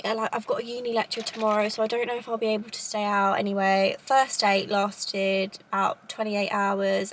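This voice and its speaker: A woman's voice: medium pitch, fairly bright tone, low-quality recording. Young woman, southern English accent, rambling casual chat, faint background hiss. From Cambridgeshire, England. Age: 20s. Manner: chatty, rambling, lots of "like" and "obviously". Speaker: vlogger. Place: at home. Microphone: camera in a holder.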